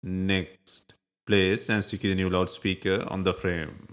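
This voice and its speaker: Male tutorial narrator, low voice, measured and plainly instructive delivery of scripted how-to steps. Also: level tone, clear, resonant tone.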